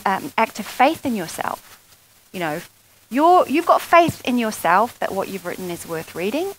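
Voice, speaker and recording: A woman's voice: a little high, slight background noise, low-quality recording. Thoughtful woman on a festival panel. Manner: thoughtful, restarts sentences often. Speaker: panelist, columnist. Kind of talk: casual panel discussion. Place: festival stage.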